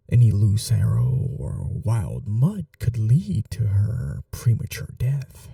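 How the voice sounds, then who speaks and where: A man playing a scheming young prince in an audio roleplay, speaking formally in a hushed, measured, imperious way. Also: mid-range voice, gravelly, no room sound, clean studio sound, far from the mic, heavily acted. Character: scheming young prince, commanding yet tender to his bride. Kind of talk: dramatic ASMR audio roleplay.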